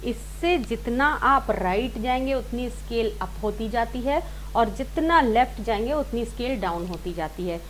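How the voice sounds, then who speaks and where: A woman's voice: fairly high pitch, lively intonation, measured pace, a bit bright, slight background noise, low-quality recording. A woman teaching a singing lesson step by step, informally rephrasing and repeating her points and mixing in English words. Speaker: singing teacher, series host.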